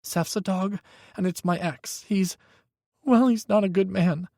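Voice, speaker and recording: A man's voice: high voice, measured pace, vocal fry, darkish tone, no echo, studio quality, pitched above the performer's own voice. A man voicing a timid, frightened young man who sounds tearful and shaky. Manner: trembling, tearful.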